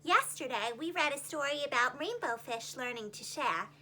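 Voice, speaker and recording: High, animated woman reading children's stories. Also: normal speed, slight background noise. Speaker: preschool teacher, storyteller.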